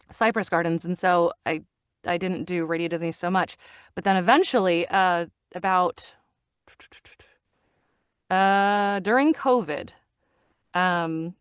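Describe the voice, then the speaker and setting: Chatty, smooth-voiced woman. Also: lowish voice, no room sound, clean studio sound. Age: middle-aged. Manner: chatty, animated storyteller with frequent fillers and laughs. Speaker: guest. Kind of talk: casual interview chat.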